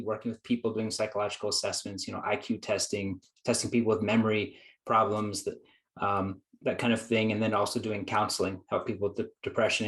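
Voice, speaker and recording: Man, guest psychologist, earnest reflective storyteller, slightly deep voice. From United States. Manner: earnest, reflective, acts out his own past thoughts. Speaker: guest psychologist and productivity coach. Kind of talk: conversational storytelling.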